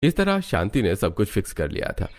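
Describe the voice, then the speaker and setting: A man's voice: lowish voice, huge pitch swings, fairly quick. A man narrating a scripted moral story as its storyteller.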